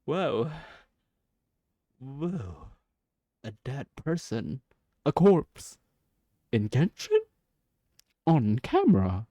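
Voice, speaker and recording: A man's voice: medium pitch, wildly swooping pitch, extremely slow, pauses often, mellow, no echo, dull audio. A man doing casual gameplay commentary, murmuring exclamations and repeating his words as he reacts. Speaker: gameplay streamer.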